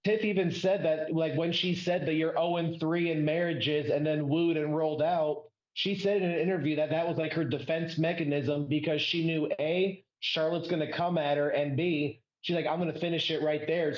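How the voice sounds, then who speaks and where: A man's voice: a little high, steady tone, moderate pace, hardly any pauses, roomy sound, highs cut off. A man co-hosting a wrestling podcast, giving blunt, opinionated takes over a remote call. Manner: opinionated, direct. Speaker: podcast co-host. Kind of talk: casual wrestling discussion.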